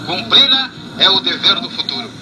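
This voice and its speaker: A man giving a formal political speech in an emphatic, halting oratorical manner. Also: high voice, lively intonation. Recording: noisy archival recording.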